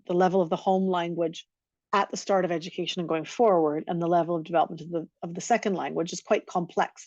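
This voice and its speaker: A woman's voice: fairly low pitch, darkish tone, no room sound, highs cut off. A woman giving a recorded lecture on teaching, explaining and running through lists of examples. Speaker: teacher trainer.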